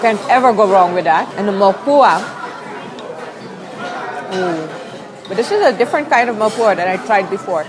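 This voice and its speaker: A young woman reviewing food on camera for a vlog, expressive as she tastes and talks. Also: mid-range voice, normal speed, pauses often, poor audio. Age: young adult. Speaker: food and travel vlogger. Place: busy restaurant dining room. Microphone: clip-on lapel mic. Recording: restaurant crowd chatter behind.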